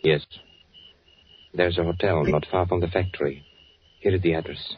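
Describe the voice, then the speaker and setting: An actor playing a careful undercover agent posing as a German army officer in a 1940s radio drama, speaking in a measured, guarded way. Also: male voice, sits low, fairly even intonation, slightly rough. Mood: cautious instructing. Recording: dull, noisy 1940s radio recording.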